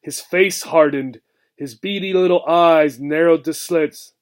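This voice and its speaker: A man reading a children's book aloud with expressive narration. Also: on the high side, measured pace.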